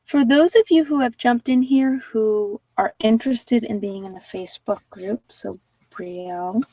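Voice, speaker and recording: A woman's voice: on the high side, a little slow, warm tone, no room sound, far from the mic, low-quality recording. A woman giving casual live tarot readings to viewers, talking informally and thinking aloud as she goes. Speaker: tarot reader and channel host.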